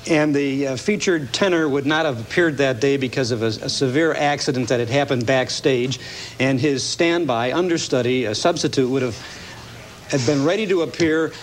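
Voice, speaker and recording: An American man giving a casual, halting, explanatory movie review as a guest on a morning TV show. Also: mid-range voice. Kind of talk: casual interview commentary. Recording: slightly noisy TV broadcast recording.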